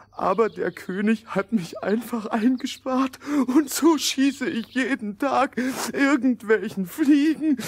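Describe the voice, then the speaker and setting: An actor playing an eccentric, bored former royal hunter, theatrical and repeating himself, in a fairy-tale audio play. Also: male voice, extremely high pitch, a little hiss or hum, full character voice.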